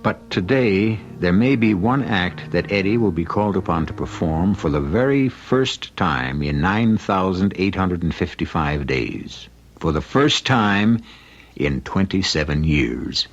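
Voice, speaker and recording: A man narrating an old-time radio mystery in a deliberate, solemn, portentous manner. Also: low-pitched, animated, heavily acted. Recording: dull, lo-fi radio recording. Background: music.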